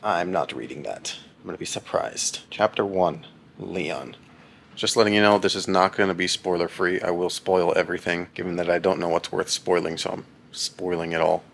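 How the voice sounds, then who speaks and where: Deep, gravelly young man rambling deadpan. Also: moderate pace, a little hiss or hum. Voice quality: very low voice. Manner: deadpan, rambling and self-mocking. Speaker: husband reading a book on camera. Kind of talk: casual vlog chat.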